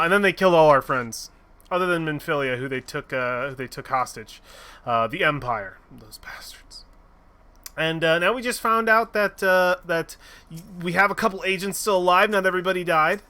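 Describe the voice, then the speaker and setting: A man's voice: fairly high pitch, bright, forceful tone. A man streaming a game, recapping the story in a rambling, joking way with lots of fillers and casual swearing. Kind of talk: casual let's-play commentary.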